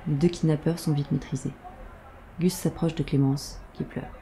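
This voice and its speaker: Very dark, husky, creaky female narrator. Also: deep voice, steady tone, normal speed, slight background noise. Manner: terse present-tense storytelling. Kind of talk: scripted audio drama narration.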